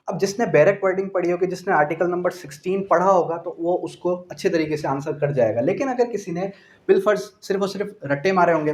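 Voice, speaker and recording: A man's voice: fairly high pitch, darkish tone. A man with a Pakistani accent teaching an exam-prep law lecture, explaining by asking questions and answering them, mixing in English legal terms. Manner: mixes English legal terms and quotations into speech.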